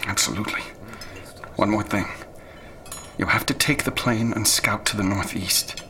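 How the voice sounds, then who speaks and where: An actor playing a haunted, obsessive older scholar, recounting cosmic horror in a grave, breathless, florid radio drama delivery. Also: male voice, on the low side, fairly even intonation, moderate pace, bright, forceful tone, a little hiss or hum. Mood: urgent, weary. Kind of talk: radio drama acting and first-person narration. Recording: dramatic music bed under the voice.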